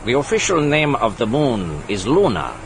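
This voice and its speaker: An actor playing a haughty terrorist buyer in an audio drama, speaking formally and precisely. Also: male voice, mid-range voice, wildly swooping pitch, normal speed, noisy background. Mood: formal, guarded.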